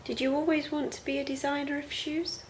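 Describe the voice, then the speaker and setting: A woman's voice: high-pitched, flat delivery, a little fast, slight background noise. A woman interviewer putting short prepared questions to a guest.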